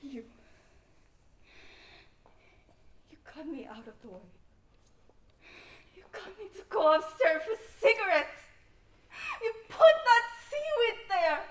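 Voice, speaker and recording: A woman's voice: very high-pitched, huge pitch swings, measured pace, fairly bright tone, roomy sound, heavily acted. A young woman acting a nervous, frightened young lady in a student stage murder mystery. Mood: distraught, accusing. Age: young adult.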